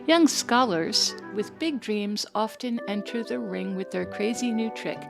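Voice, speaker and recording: A woman's voice: mid-range voice, unhurried, vocal fry. A woman performing a scripted narration, spliced together from recorded audio. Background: background music.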